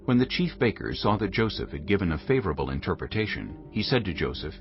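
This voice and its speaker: A man giving a solemn, measured and dramatic narration of scripture, formal in delivery. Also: low-pitched, big pitch swings, highs cut off, music in the background.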